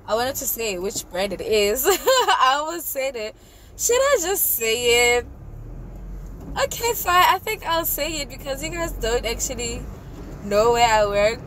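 A woman in her late twenties from South Africa, vlogging from the driver's seat about gratitude in a reflective, chatty way. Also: fairly high pitch. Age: 20s. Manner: reflective, grateful, chatty with frequent "you know". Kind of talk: casual vlog talk to camera. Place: inside a car while driving. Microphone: phone camera mounted on the dashboard. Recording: road and engine noise in car.

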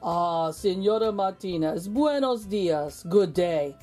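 A woman voicing a kindly, courteous village priest in a storybook, measured and polite. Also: fairly low pitch, animated, dark tone, soft background music.

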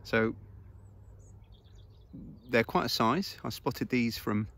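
Gravelly man vlogging on a hillside. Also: medium pitch, slow, a bit bright, a little hiss or hum. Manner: casual, explanatory, easygoing with fillers. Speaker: foraging channel host. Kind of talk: outdoor how-to vlog. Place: grassy hillside outdoors. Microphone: phone camera held close.

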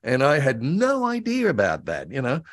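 A man's voice: mid-range voice, huge pitch swings, normal speed, dry recording. An older man with an Australian accent, casually and enthusiastically reminiscing as an interview guest on a video call. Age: older, about 70. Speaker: interview guest, voice actor and author. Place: room at home, on a video call.

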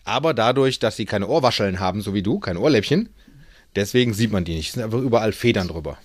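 A man's voice: fairly low pitch, animated, fast talker, dry recording. A man working as a falconer, giving casual, plain-spoken and playful explanations to children.

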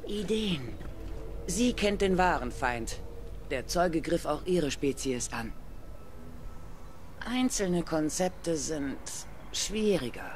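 A hardened female soldier from a video game. She speaks in a clipped, dutiful military way, steely and resolute, over some background noise. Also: lowish voice. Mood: grave, guarded. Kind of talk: dramatic video game dialogue.

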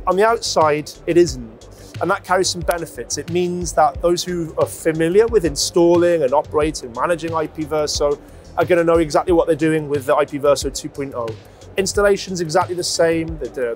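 A man in his forties explaining a product in a measured way, as a company representative interviewed on a lapel mic. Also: on the high side. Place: busy trade show exhibition hall.

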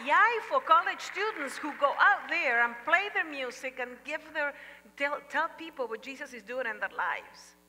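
An older woman with an Argentine Spanish accent gives a lively chapel talk, telling a family story with humorous asides. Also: high voice. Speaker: chapel speaker. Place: large church sanctuary stage. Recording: long reverb of a large hall.

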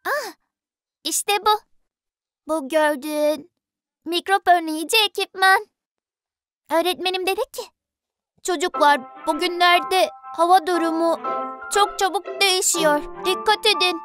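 A performer voicing an excitable, cute, earnest little girl in a dubbed children's cartoon. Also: very high-pitched, measured pace, pauses often, music in the background. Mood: earnest, proud. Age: child character. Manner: speaks of self in third person, exclaiming.

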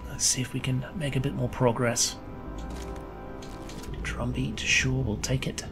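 A man's voice: medium pitch, level tone, a little slow, vocal fry. A man, chatting casually while playing a game and losing his voice. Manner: chatty, reads notes aloud. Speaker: gamer commentator. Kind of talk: casual let's play commentary. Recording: gameplay video with game music.

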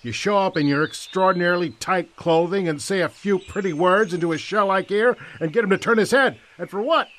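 An actor playing a pompous, overprotective older brother with old-fashioned indignation in a comic audio drama. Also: male voice, high-pitched, a little fast, few pauses, fairly bright tone. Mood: indignant, pompous.

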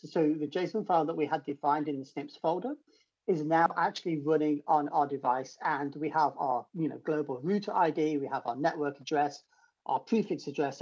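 A middle-aged British man with a husky voice explains a technical demo step by step in an informal screen-share tutorial. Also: on the high side, a little mellow, highs cut off. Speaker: developer advocate giving a technical demo. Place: home room, indoors.

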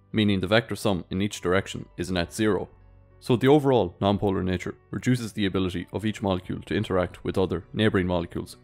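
A man reading scripted educational narration in a clear, explanatory way. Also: fairly low pitch. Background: background music.

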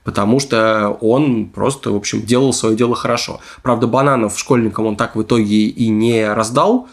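Creaky-voiced man hosting a podcast. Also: lowish voice, fairly even intonation, normal speed. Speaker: podcast host. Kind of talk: casual storytelling podcast talk.

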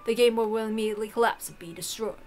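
A woman's voice: fairly high pitch, darkish tone, lightly acted. A woman putting on a composed, formal old butler. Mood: grave, explanatory. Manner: formal, measured, apologetic. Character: deferential, composed old butler, a grave man. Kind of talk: visual novel character reading.

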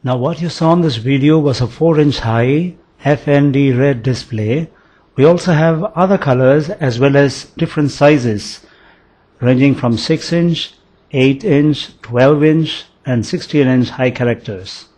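A man giving a voice-over for a product demonstration, explaining it step by step in a measured way. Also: mid-range voice, darkish tone, husky. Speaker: product demo narrator.

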